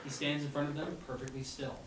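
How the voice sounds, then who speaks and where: A man's voice: a little high, steady tone, quick pace. A man reading stage directions as narrator of a live comedy table read. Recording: echoey, noisy room, distant mic.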